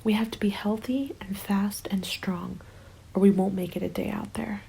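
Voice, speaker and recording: A woman playing a starving, bitter former nurse surviving a zombie apocalypse, in a soft, hushed ASMR roleplay that drifts into whispers. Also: medium pitch, steady tone, moderate pace. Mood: desperate, resolute. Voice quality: creaky, soft. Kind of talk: ASMR dramatic roleplay monologue. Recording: music under the voice. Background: music.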